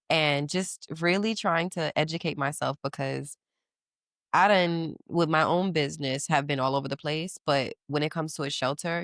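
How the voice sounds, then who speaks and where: A woman in her thirties speaking candidly as a podcast guest, animated and quick to laugh, with casual slang. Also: low voice, dry recording, studio quality. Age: 30s. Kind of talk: casual interview chat.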